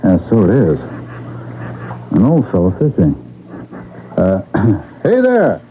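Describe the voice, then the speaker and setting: Low-voiced, confident radio detective. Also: male voice, wildly swooping pitch, takes it slowly, full character voice. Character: confident, hearty male detective on a manhunt. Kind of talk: old-time radio drama acting. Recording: dull narrow radio recording, faint noise.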